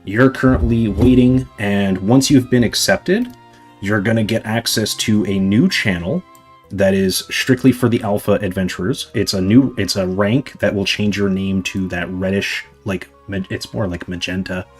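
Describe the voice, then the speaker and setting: A young man chatting casually to his viewers on a livestream, rambling with lots of fillers and a voice going slightly hoarse from talking a long time. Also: on the low side, normal speed, faint music behind. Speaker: livestreamer answering chat.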